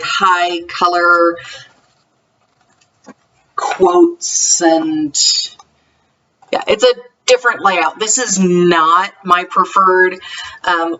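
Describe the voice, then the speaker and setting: Bright-voiced woman vlogging, distant dull mic. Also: on the low side, slight background noise. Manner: rambling, conversational, self-correcting. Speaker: homeschooling parent vlogger. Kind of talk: casual vlog chat, informal show-and-tell of books.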